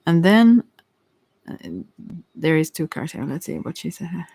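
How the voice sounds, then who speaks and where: Non-native speaker doing a casual tarot reading, real slow and hesitant, dry close sound. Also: medium pitch, expressive, gravelly, darkish tone, husky, dry recording. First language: not English. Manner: hesitant, halting, searching for words. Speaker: tarot reader.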